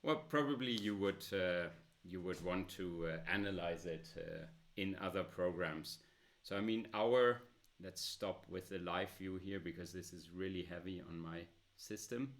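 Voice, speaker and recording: A man with a loud voice presenting a smartphone app in a casual demo, with many filler pauses. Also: sits low.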